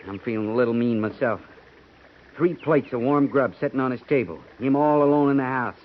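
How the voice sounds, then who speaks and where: An actor playing a loyal, plain-spoken cavalry sergeant with a folksy way of talking. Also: male voice, mid-range voice, fairly quick, natural voice. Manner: respectful, folksy. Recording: muffled 1950s radio broadcast with hiss.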